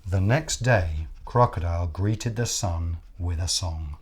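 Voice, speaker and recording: A man reading a picture book aloud as an expressive children's storyteller, deliberate, with dramatic pauses. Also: sits low, husky.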